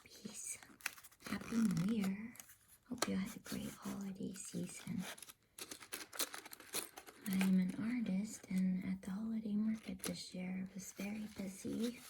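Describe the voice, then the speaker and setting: A woman whispering softly and soothingly in an unhurried ASMR style, as the host of a bedtime reading. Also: mid-range voice, very animated, background noise. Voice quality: breathy whisper.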